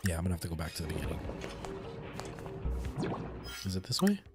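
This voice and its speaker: A young man from Canada chatting casually while live streaming a game, expressive and prone to repeating himself for emphasis. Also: low-pitched, fast. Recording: music playing behind the voice.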